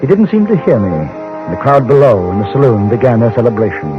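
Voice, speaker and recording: An actor as a measured gentleman correspondent, muffled radio. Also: mid-range voice, sing-song, music in the background, close to an everyday voice. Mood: measured narration. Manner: measured, formal, literate. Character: composed gentleman newspaper correspondent out West. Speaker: lead actor and narrator. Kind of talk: old-time radio drama narration. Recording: dull vintage radio recording.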